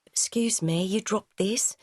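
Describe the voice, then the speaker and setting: A friendly young factory girl, acted by a woman reading a novel speaks plainly and high. The sound is dry. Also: slow, darkish tone, slightly rough, no echo. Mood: helpful, curious.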